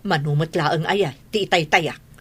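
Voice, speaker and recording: A woman's voice: deep voice, unhurried, slightly rough. A woman with a Filipino accent playing a defensive, wheedling mother in a radio drama. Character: defensive, wheedling middle-aged mother who loves gambling.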